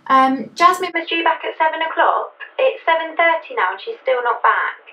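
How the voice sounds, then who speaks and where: Brisk nurse, high bright voice. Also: female voice, animated. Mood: businesslike. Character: brisk, dutiful female ward nurse. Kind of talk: scripted drama, on a phone call. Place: indoor office. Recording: echoey office.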